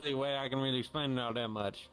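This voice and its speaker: A man's voice: medium pitch, fairly even intonation, fast talker, fairly bright tone, smooth, faint music behind, rough recording. A man with a light Southern US accent, chatting casually with a fellow roleplay player and rambling through his explanations. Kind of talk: casual chat.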